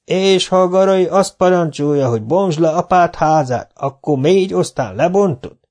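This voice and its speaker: A man's voice: a little high, a little mellow, voice pushed up, close to an everyday voice. A man voicing a tipsy elderly teacher who scolds and lectures his former pupil. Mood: indignant. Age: older. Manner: indignant, lecturing.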